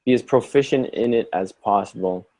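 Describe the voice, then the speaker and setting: A man's voice: on the low side, moderate pace, mellow. Musing man from Canada, guest talk. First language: German. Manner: musing, repeats words as he gathers thoughts. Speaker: podcast guest. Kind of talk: conversational podcast interview.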